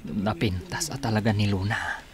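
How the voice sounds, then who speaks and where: A man's voice: on the low side, steady tone, normal speed, natural voice. A man acting a genial godfather and family friend in an Ilocano radio drama.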